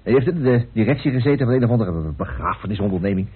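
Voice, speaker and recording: A man's voice: on the low side, lively intonation, quick pace, husky. An actor playing a self-assured, talkative, persuasive man in a radio drama. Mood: brisk, jovial. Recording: muffled, narrow-band old radio recording.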